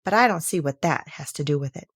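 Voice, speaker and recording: A woman's voice: low voice, expressive, fast, breathy edge, dry recording, heavily acted. A polite little girl from a storybook, voiced by a woman. She sounds curious and a touch timid, with a questioning way of talking. The recording is dry and close.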